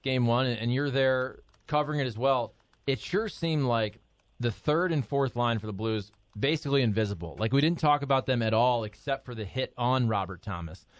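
A man's voice: medium pitch, normal speed, no room sound. A man in his thirties hosting a casual sports talk show, asking questions in a playful, joking way. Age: 30s. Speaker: radio host.

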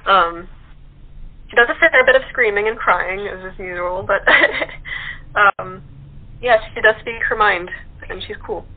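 Mid-pitched woman guest over a thin phone line. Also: measured pace, long pauses. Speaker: radio play actress as guest. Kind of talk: casual interview chat. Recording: tinny, narrow phone-line sound.

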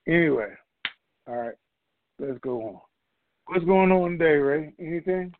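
A man's voice: on the high side, lively intonation, a little slow. A man hosting a conference call, talking to the group in a casual, rambling, folksy way. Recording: muffled, narrow-band phone conference line.

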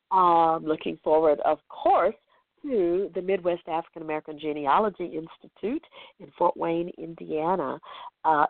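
A hoarse woman speaking as a guest in an interview, talking about her genealogy work with emphatic enthusiasm. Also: low-pitched, no room sound. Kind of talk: interview answers. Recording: tinny phone line, dry.

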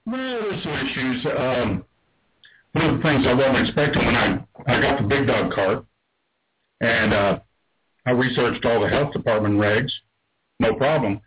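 Booming husky man from Texas calling in. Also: animated, measured pace, pauses often. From San Antonio, Texas. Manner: big booming voice. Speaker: caller, vendor. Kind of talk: call-in radio chat. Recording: garbled, husky phone line.

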